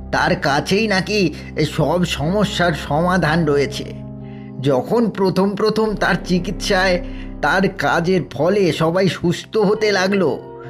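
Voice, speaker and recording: An actor playing an old, grieving, suspicious village man in an audio horror drama, halting and insistent, repeating phrases for emphasis. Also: high-pitched. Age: older.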